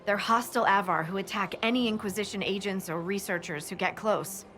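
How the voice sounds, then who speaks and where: A woman's voice: mid-range voice, brisk, a bit bright, slight background noise, natural voice. An actress playing a capable, earnest female military scout in a video game, briefing in a concerned, matter-of-fact way. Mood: concerned, reporting.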